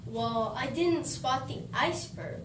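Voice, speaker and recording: A woman's voice: high-pitched, unhurried. A woman playing a young male ship lookout, reciting his part in a plain, matter-of-fact way. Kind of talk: reciting a historical role. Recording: echoey room, far mic, noisy.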